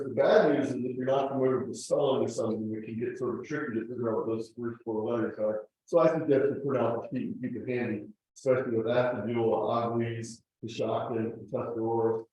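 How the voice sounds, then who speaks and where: A man with a mellow, soft-edged voice gives an informal talk, explaining rules point by point with conversational asides. He is recorded from a distance in a very reverberant meeting room, so the room rings heavily around his words. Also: medium pitch. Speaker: club presenter explaining contest rules. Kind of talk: informal slideshow lecture. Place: echoey indoor meeting room. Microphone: distant room microphone. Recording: very echoey room, distant mic.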